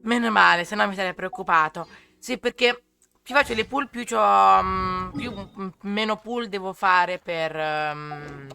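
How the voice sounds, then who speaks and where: A man's voice: high voice, moderate pace, vocal fry, sharp tone, clear, resonant tone. A man from Italy giving casual gameplay commentary as a gaming video creator. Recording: light game music behind.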